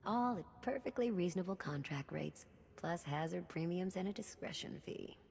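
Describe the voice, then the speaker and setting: An actress playing a wry, cocky smuggler in a video game, talking in a folksy, sardonic way. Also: female voice, fairly low pitch, lively intonation, moderate pace, vocal fry, a little mellow. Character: brash, wry female smuggler running an underworld supply chain. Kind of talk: scripted video game dialogue. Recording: reverberant hall with background hum.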